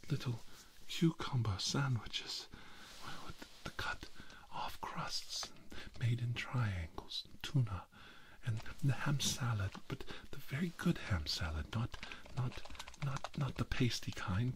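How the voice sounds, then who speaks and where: A middle-aged mad scientist played by a man whispers in a low voice, unhurried and obsessive. He repeats his words haltingly, full of grandiose self-adoration, in an intimate ASMR character monologue.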